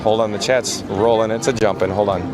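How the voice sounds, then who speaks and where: A man with a hoarse, raspy voice live-streaming from outdoors at a theme park, reading viewer comments aloud in an upbeat, chatty way. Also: lowish voice, fairly even intonation, a little fast. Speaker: vlogger. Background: music.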